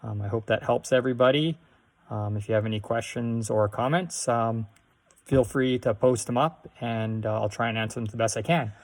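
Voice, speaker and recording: A man with a mid-pitched voice hosts a casual hands-on tutorial. He explains each step as he goes, in a halting, unscripted way. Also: a little mellow. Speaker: host of a DIY radio tutorial. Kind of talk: casual how-to demonstration.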